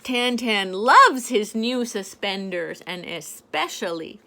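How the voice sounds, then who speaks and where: An older woman reading a picture book aloud to a child in a gentle, playful way, pointing out the pictures as she goes. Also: mid-range voice, lively intonation, slow pace. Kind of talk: storybook read-aloud to a child.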